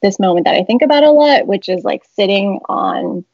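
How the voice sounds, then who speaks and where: A young woman from the Bay Area talks loosely on a video call, with a faint rasp in her voice and a mid-height pitch. She wanders through her thoughts with fillers and quick laughs. The sound is dull and dry, like a laptop mic in a small room. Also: expressive, no echo. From San Francisco Bay Area. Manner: rambling, many fillers and laughs. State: slightly raspy voice. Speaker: interview guest, publisher. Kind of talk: casual video-call interview. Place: home room with shelves, indoors. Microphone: laptop webcam video call. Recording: muffled video-call audio.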